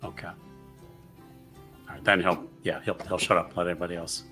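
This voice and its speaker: A man playing in a tabletop roleplaying game, casual and halting, repeating himself. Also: low-pitched, steady tone, pushed and bright, faint music behind.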